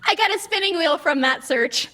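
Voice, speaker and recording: A woman's voice: very high-pitched, lively intonation, normal speed, pushed and bright, exaggerated voice. A woman playing a perky, literal-minded, slightly testy computer assistant in an audio drama, chirpy, officious and pedantically precise. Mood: anxious, chirpy. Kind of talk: scripted audio comedy-drama acting.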